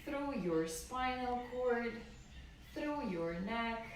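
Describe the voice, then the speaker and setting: Slow, calm woman in an echoey room. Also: on the high side. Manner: slow, calm, gentle guiding. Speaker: yoga teacher. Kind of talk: live-streamed yoga class instruction. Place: room at home. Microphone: camera from a distance. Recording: echoey room, voice far from the mic, faint noise.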